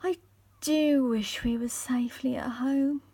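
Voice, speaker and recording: A woman's voice: sits high, steady tone, a little slow, warm tone, breathy edge, no room sound, pitched above the performer's own voice, exaggerated voice. A woman reading the part of an earnest young boy in a children's storybook. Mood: anxious.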